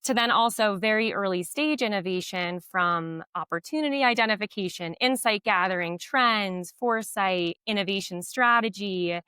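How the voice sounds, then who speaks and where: Podcast guest, woman, low voice but bright and crisp, dry close mic. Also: normal speed, few pauses, no room sound. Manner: fluent, explanatory, with frequent fillers. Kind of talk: podcast interview.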